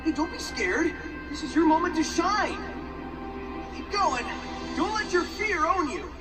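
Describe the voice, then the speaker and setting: A man voicing a cocky, upbeat young hedgehog hero in an animated game, with a breezy, teasing manner. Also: very high voice, unhurried, exaggerated voice. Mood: encouraging. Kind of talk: animated video game cutscene acting. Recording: loud music, slightly distant.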